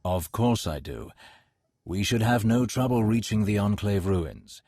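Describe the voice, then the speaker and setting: An actor playing a suave, courteous, scheming imperial agent in a video game, speaking in a smooth-mannered, formal, theatrical way. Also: male voice, lowish voice, animated, a little slow, mellow, no echo, studio quality. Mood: assured.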